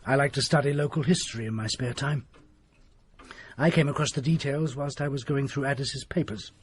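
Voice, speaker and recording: An actor playing a secretive country doctor who tells a grim tale in a grave, hushed manner. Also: male voice, mid-range voice, fairly quick, slight background noise, lightly acted. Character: desperate, secretive middle-aged male country doctor. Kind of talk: period radio drama acting.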